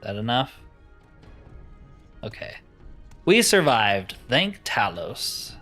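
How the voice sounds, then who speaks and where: A man's voice: mid-range voice, big pitch swings, takes it slowly, a bit bright, faint music behind. A man giving casual let's-play commentary, musing aloud with wry asides as he plays. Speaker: gamer narrating his playthrough.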